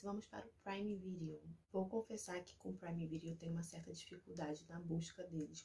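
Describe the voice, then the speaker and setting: A young woman from Brazil hosts a relaxed recommendation video. Her voice has a noticeable vocal fry and sits in a middle pitch. She explains things in a chatty way and now and then reads a short synopsis aloud. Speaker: Spanish teacher and video host. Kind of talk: casual recommendation vlog.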